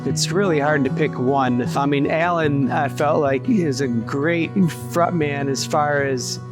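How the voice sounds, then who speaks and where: A man's voice: on the high side, a little slow, loud music behind the voice. A man from Montana with an American accent, giving a casual, effusive testimonial as an event attendee. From Montana, United States.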